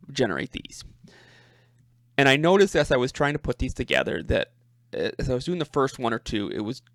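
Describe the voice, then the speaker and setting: A man giving an informal screen-share walkthrough as a presenter, speaking haltingly and thinking aloud, often repeating his words. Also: mid-range voice, vocal fry, a bit bright, dry recording, clean studio sound. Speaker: presenter demonstrating a personal coding project.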